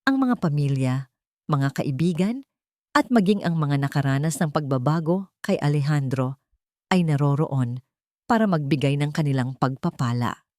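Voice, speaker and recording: Woman, very low voice, scripted storytelling, no room echo. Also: lively intonation, moderate pace, darkish tone, studio quality. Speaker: storyteller, audiobook narrator. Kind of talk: scripted narration of a love story.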